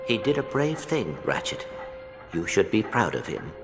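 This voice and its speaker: Polite, lilting little robot. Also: male voice, on the low side, very animated, normal speed. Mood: gentle. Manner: formal, precise, gentle. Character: polite, earnest, loyal little male robot. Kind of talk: video game cutscene acting. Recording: music behind the voice.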